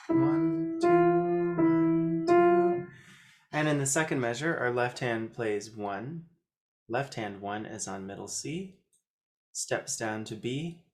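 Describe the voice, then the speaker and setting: A man with a mid-pitched voice gives instructional piano guidance at a slow pace. He speaks deliberately in short phrases, counting out notes and fingerings step by step. Piano playing is clearly heard along with his voice. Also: a little mellow. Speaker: piano teacher, host of video lessons.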